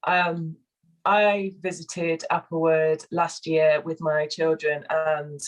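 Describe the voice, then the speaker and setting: A soft-spoken woman with a slightly croaky voice, co-facilitating a video call, guiding a relaxation and sharing with hesitant pauses and fillers. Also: low voice, flat delivery, rough recording. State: hoarse, croaky. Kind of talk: guided relaxation and casual sharing.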